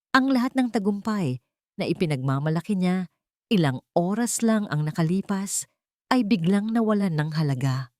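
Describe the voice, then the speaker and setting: A woman's voice: mid-range voice, a little mellow, dry recording, clean studio sound. A woman narrating a scripted story in a solemn, melodramatic manner.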